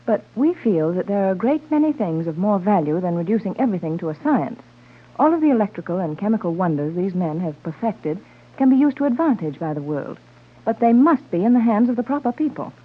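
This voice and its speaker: An actress playing an earnest, motherly, refined woman adventurer in a 1930s radio serial, reasoning gently. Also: mid-range voice, sing-song, a little fast. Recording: muffled, narrow-band old radio recording.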